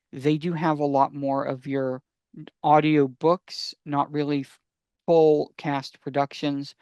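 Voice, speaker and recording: A man's voice: a little high, a little mellow, smooth, dry recording, clean studio sound. A man co-hosting a podcast in casual talk, eagerly explaining his recommendations and answering his own questions. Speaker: podcast co-host.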